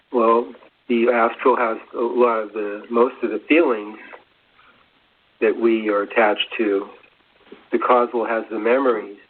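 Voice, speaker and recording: A man explains spiritual ideas off the cuff in a very even, slow voice. He is halting and often searches for words. The recording is dull, like low-fidelity radio. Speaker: co-presenter. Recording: dull lo-fi radio sound, faint noise.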